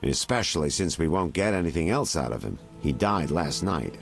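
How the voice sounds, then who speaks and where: A man's voice: very deep voice, animated, normal speed, gravelly, soft background music, full character voice. An actor playing a shrewd, mercenary male informant in a video game, haggling and insinuating in a wry way. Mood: confiding.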